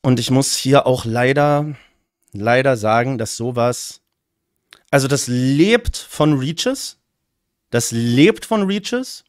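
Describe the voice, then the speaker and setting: A man whose first language is German, giving opinionated casual commentary as a streamer reacting to a rap battle, talking in fast bursts. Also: mid-range voice, expressive, clean tone, no room sound, studio quality.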